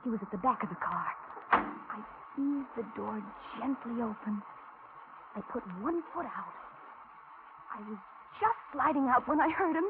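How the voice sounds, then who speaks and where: Scared young waitress, high anxious voice, 1940s radio thriller, tinny noisy old recording. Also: female voice, expressive, unhurried, pauses often. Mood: anxious narration. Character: frightened young waitress held hostage, pleading and telling her ordeal. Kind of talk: 1940s radio suspense acting, dramatic narration.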